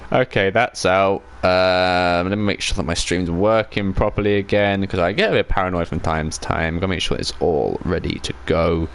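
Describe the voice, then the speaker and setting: A man's voice: deep voice, fairly even intonation, normal speed, few pauses, clear, resonant tone. A man hosting a video game livestream with casual, chatty commentary, rambling along and correcting himself as he goes. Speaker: livestream host.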